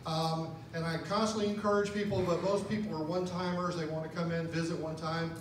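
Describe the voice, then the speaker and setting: A man's voice: fairly high pitch, flat delivery, brisk. A man from Florida, a business owner and former police officer, introducing himself with self-deprecating jokes. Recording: echoey room, mic far off, noisy.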